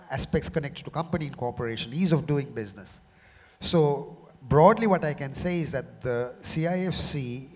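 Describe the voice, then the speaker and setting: A middle-aged man, slightly hoarse from a cough, speaking measuredly on a panel and often repeating words as he restarts. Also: fairly high pitch, darkish tone. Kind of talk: panel discussion. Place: large conference hall. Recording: reverberant conference hall.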